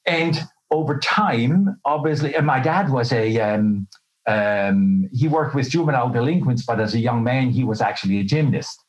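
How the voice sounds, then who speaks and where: A man's voice: medium pitch, normal speed, highs cut off. Self-deprecating middle-aged man from Switzerland. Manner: self-deprecating, colloquial, candid. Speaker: interview guest, health professional. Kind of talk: conversational personal storytelling.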